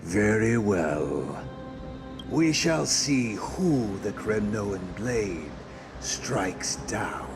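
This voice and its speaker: A man's voice: lowish voice, lively intonation, extremely slow, heavily acted. A man playing a ruthless, brooding warrior king and father in a fantasy game, with grandiose, solemn, archaic speech. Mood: menacing. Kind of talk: dramatic fantasy game voice acting. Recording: music underneath.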